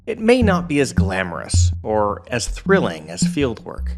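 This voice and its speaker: Matter-of-fact investigative narrator. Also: male voice, mid-range voice, huge pitch swings, vocal fry, over music, full character voice. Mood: informative. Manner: measured, informative. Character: matter-of-fact male law enforcement explainer. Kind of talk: scripted explanatory narration.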